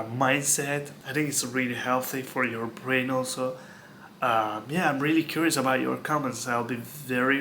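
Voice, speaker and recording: Earnest young man, flat bright voice. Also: medium pitch, slight background noise. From Istanbul, Turkey. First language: Turkish. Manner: earnest, repeats words, fills with like and um. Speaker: vlogger. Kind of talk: casual talk to camera.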